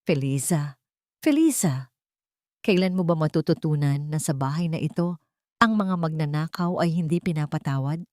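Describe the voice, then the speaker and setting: A woman's voice: low-pitched, normal speed, darkish tone, no room sound, close to an everyday voice. Woman plays cold Filipino villainess for audiobook drama: menacing, accusing, scornful, dry close sound. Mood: cold, threatening. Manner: taunting, accusing. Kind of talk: audiobook melodrama.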